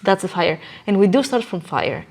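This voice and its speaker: A woman's voice: mid-range voice, sing-song, normal speed, a little mellow, poor audio. A woman speaking as an interview guest in a podcast conversation, explaining in an earnest, emphatic way and checking in with small tag questions.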